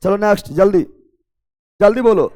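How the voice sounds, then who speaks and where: High-voiced teacher drilling a class. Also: male voice, moderate pace, in a large hall. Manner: drills students with repeated call-and-response questions; loud, emphatic, urging students to hurry. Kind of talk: classroom exam coaching lecture.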